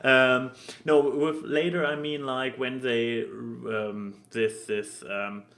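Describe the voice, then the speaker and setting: A man talks casually on a podcast in a middle-pitched voice. He takes his time, stammering and halting as he looks for the next word. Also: level tone, gravelly, fairly bright tone. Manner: halting, stammering, searching for words. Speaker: podcast co-host. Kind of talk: casual podcast chat about anime.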